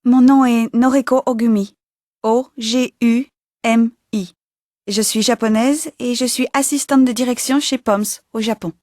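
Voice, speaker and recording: A woman's voice: fairly high pitch, fairly even intonation, unhurried, darkish tone, no room sound, studio quality, close to an everyday voice. A woman acting in scripted language-course dialogue as a polite executive assistant. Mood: introducing herself. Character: polite female executive assistant and airline passenger.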